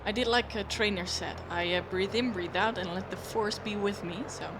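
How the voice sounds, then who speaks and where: A woman with a middle-pitched voice chats casually on a livestream as a learner. The recording has clear noise running behind her. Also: steady tone, moderate pace. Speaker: student pilot on a flight sim livestream. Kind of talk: casual chat.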